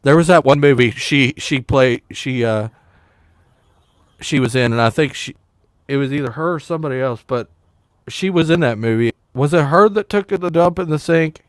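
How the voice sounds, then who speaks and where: A man's voice: medium pitch, moderate pace, no room sound, clean studio sound. A man joining a casual stream chat, rambling and joking bluntly. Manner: rambling, blunt jokey banter.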